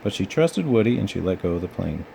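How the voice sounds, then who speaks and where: Guy reading a kids story aloud, soft dark lowish voice, steady room hum. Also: fast talker, creaky. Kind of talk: children's storybook narration.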